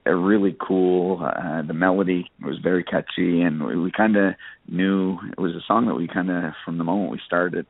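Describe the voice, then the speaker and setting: A man's voice: deep voice, steady tone, normal speed, creaky, dry recording, narrow, tinny audio. A man from Nova Scotia, Canada, chatting casually as an interview guest, rambling along with plenty of "you know" and "kinda". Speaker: guest, country singer-songwriter.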